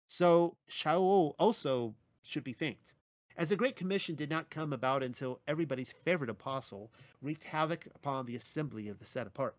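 Man, article reader with a dry, wry delivery, mid-pitched voice, close dry sound. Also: no room sound. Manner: dry, wry asides between scripture quotations. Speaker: religious teacher reading his own articles. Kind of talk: reading and commenting on a written article.

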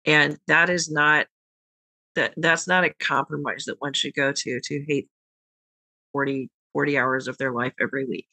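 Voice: medium pitch, steady tone, moderate pace, sharp tone, no echo, low-quality recording. A podcast host and tarot reader talking casually and frankly, swearing now and then and rambling a little.

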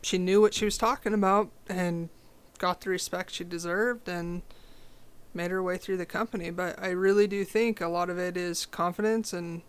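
A young woman with a low voice, talking as a podcast guest in a direct, confident, casual way. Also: moderate pace, clear, resonant tone. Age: young adult. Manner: confident, direct, animated storytelling. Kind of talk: casual interview chat.

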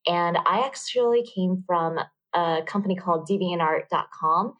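Bubbly American woman, low voice. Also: normal speed, fairly bright tone, muffled recording. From California, United States. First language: Vietnamese. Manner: bubbly, laughs often. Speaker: MBA student guest. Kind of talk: casual interview answers.

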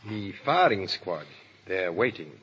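A cold French revolutionary villain, menacing and icily courteous. His voice is sharp and a little rough, with clipped, commanding delivery. The old radio drama sound is muffled and echoes like a hall. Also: low-pitched, sing-song, unhurried, heavily acted. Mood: cold, controlled. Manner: clipped, forceful, icily polite. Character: cold, menacing, triumphant male agent of revolutionary France. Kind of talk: 1930s radio drama acting. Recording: muffled 1930s radio broadcast, hall reverb.

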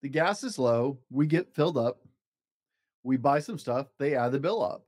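A man's voice: mid-range voice, animated, normal speed, a little mellow, close to an everyday voice. A man voicing a cheerful, careful storybook toad who loves driving, in a rhythmic sing-song. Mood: cheerful, eager. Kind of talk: children's storybook read-aloud.

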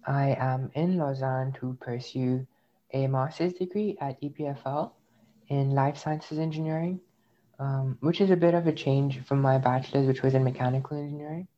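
A man's voice: medium pitch, normal speed, warm tone, dull audio, a little hiss or hum. A young man from India, raised in the UAE, telling his personal story in a thoughtful, reflective way as an interview guest. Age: young adult. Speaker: interview guest, graduate student. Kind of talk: personal testimony in conversation.

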